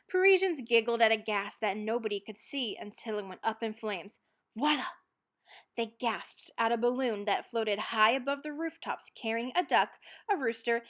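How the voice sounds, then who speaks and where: Lady reading a kids book out loud, really bright voice on the high side, dramatic pauses and punched words. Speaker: read-aloud narrator of a children's book. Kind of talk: expressive scripted storybook reading.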